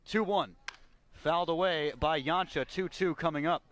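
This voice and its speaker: A man's voice: fairly high pitch, moderate pace, fairly bright tone. An American man doing live radio play-by-play commentary as a baseball announcer. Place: ballpark radio broadcast.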